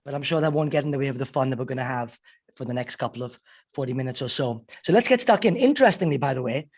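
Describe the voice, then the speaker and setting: A man co-hosting a podcast with a croaky voice, chatting and asking questions. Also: medium pitch, expressive, quick pace. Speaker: podcast co-host.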